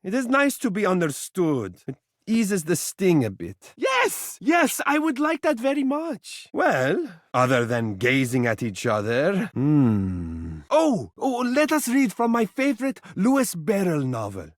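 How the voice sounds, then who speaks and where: An actor voicing a vain, fussy, doting living mirror in a video game, with a flowery, pompous and melodramatic delivery. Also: male voice, high voice, wildly swooping pitch, a little slow, dry recording, studio quality, exaggerated voice. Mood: melodramatic, languishing. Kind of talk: theatrical character dialogue for a video game.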